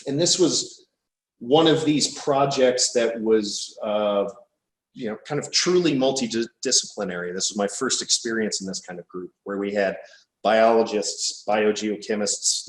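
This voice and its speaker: A man in his thirties with an American Midwestern accent, giving a relaxed, informal academic seminar talk. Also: lowish voice, measured pace. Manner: relaxed, informal, thinking aloud. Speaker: guest lecturer. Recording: echoey room, distant mic.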